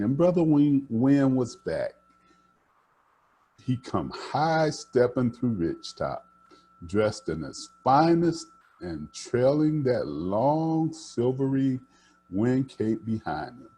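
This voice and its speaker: An older man reading aloud to children in an expressive, animated way, sometimes stumbling and rereading a phrase. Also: mid-range voice, takes it slowly, husky. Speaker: storytime host reading picture books.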